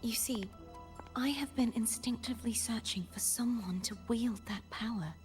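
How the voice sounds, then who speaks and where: A woman voices a solemn maiden from a fantasy game. Her voice sits a little high, soft and breathy, with slow, measured gravity and a gentle resignation. Music plays beneath her. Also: natural voice. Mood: resolute, solemn. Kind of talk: video game voice acting, dramatic monologue. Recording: game dialogue over music.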